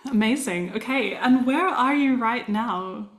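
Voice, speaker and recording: High-voiced woman host in an echoey room. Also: measured pace. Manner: curious, reflective, thinks aloud with fillers. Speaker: podcast host. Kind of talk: conversational interview. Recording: roomy echo with faint music.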